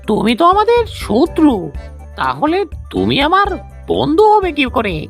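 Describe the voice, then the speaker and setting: Small, loyal, watchful grasshopper for a kids' cartoon, female performer: high pitch, measured pace. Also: huge pitch swings, soft background music, exaggerated voice. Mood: wary. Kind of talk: children's cartoon voice acting.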